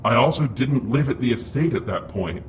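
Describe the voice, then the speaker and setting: A man's voice: mid-range voice, lively intonation, moderate pace, close to an everyday voice. An actor playing an earnest, apologetic young male hero in an audio drama, speaking with restraint. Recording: distant microphone, faint background noise.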